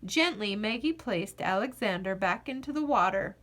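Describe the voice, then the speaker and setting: A woman reading a children's picture book aloud as an expressive narrator, with deliberate phrasing and dramatic pauses. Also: mid-range voice. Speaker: children's storybook reader.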